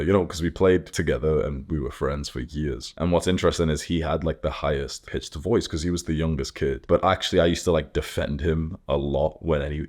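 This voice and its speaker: Very deep-voiced young man. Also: a little mellow. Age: 20s. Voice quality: deliberately deepened morning voice. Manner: informal, sweary, heavy use of "like". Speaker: YouTuber host. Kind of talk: casual personal storytelling to camera.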